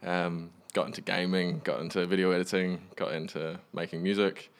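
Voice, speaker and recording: A man's voice: low-pitched, level tone, normal speed. A young man from Western Australia, a musician guest chatting casually in an understated, matter-of-fact way. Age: young adult. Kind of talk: casual chat.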